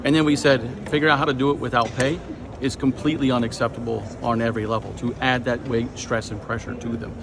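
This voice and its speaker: A man's voice: mid-range voice, level tone, fast. An American man making an emphatic statement to the press as a workers' representative. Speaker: air traffic controllers' representative. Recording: clear urban ambient noise behind.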